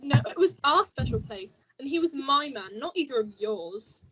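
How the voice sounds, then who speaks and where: A woman's voice: sits high, very animated, moderate pace, pushed and bright, exaggerated voice. A woman playing a scornful, possessive jilted woman in a melodramatic radio play. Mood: jealous. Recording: dull lo-fi recording.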